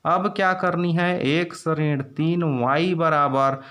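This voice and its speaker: A man's voice: fairly high pitch, steady tone, slow pace, a bit bright. A man teaching school maths step by step, repeating phrases and asking questions he then answers himself. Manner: repeats phrases for emphasis, instructional, asks and answers own questions. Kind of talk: step-by-step exam lesson for school students.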